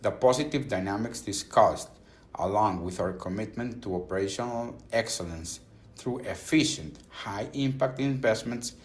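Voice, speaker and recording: A man reading a scripted earnings call presentation as a company executive, in a formal, measured corporate manner. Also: on the low side.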